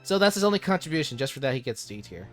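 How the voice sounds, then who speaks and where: A man's voice: medium pitch, sing-song, rapid-fire, fairly bright tone, faint music behind. A man hosting a casual ranking chat, thinking aloud and repeating words as he decides. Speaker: host. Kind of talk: casual discussion ranking anime characters.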